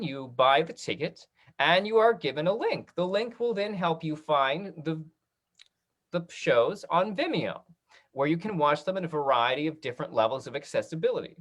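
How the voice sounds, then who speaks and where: A host of a casual online talkback, speaking in a halting, conversational way like a drama teacher. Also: medium pitch, fairly bright tone.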